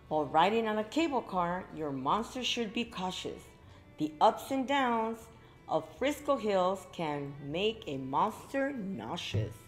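A middle-aged woman reads a picture book to young children. Her pace is slow and her pitch swings in lively, expressive arcs, with exclaimed asides to the listeners. Faint music can be heard. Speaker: librarian reading at children's story time. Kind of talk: read-aloud storytelling for young children. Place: indoor library room with bookshelves.

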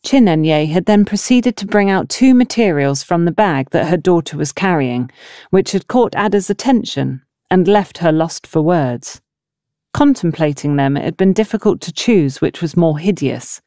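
Female audiobook narrator, lowish mellow voice, animated storytelling delivery, dry close sound. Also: normal speed, dry recording. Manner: expressive storytelling with acted dialogue. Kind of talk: scripted novel narration.

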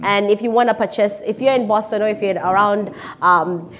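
Woman host, thirties, mid-pitched voice, encouraging, repeats phrases, studio interview. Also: moderate pace, a bit bright, slight background noise, low-quality recording. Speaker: talk show host. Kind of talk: conversational interview, reading viewer messages. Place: indoor television studio. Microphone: lapel mic.

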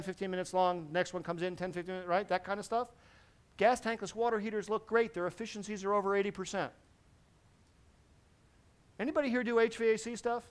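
A middle-aged man giving a technical lecture to a seated audience in a large conference hall, lively and constantly checking in with the listeners. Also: high voice. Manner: lively, quizzes the audience with frequent "right?". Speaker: presenter at a technical symposium.

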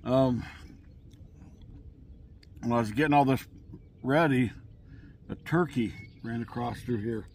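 A middle-aged man talks easily to a camera set up at his campsite, wandering through his thoughts with fillers and restarts. His voice sits at a middling pitch. A faint hush of open-air ambience runs underneath. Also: slow, long pauses, slight background noise. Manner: rambling, laid-back, with many fillers and restarts. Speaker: camping vlogger. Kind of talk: casual outdoor cooking vlog. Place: campsite outdoors on open grass. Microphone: camera on a table nearby.

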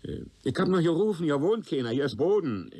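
An actor playing an evasive, flustered tenant under questioning in a radio crime drama, hesitant and colloquial. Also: male voice, fairly high pitch, big pitch swings, fairly quick, dark tone, breathy edge, full character voice. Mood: uncertain. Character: nervous, evasive male witness, a nosy tenant turning flustered.

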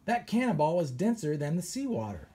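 A man, a children's author, reads a science picture book aloud with animated storytelling and pirate flair. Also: fairly high pitch, brisk, husky. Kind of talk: expressive read-aloud.

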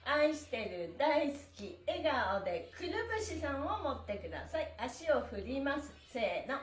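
High-pitched cheerful woman leading stretches. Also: very animated, normal speed. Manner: cheerful, smiling, polite, encouraging delivery. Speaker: stretching instructor and pantomime performer. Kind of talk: live-streamed exercise lesson with counting chants. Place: small indoor room on an exercise mat. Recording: roomy echo from camera distance.

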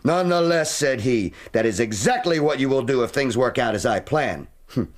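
A man's voice: medium pitch, expressive, moderate pace, close to an everyday voice. An actor playing a brash, impatient American man from Kansas with a folksy, blustery way of talking, in a radio drama. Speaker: visitor from Kansas.

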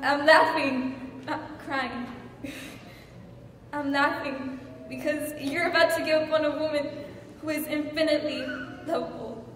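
A woman acting a wry, desperate wife refusing divorce, in a halting, theatrical dramatic monologue. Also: high voice. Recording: echoing hall from far off, faint noise.

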